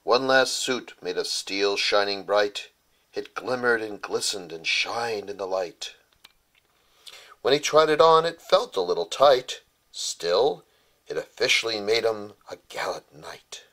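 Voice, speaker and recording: A man's voice: fairly low pitch, expressive, measured pace, pushed and bright. A man narrating a rhyming children's bedtime story as its author.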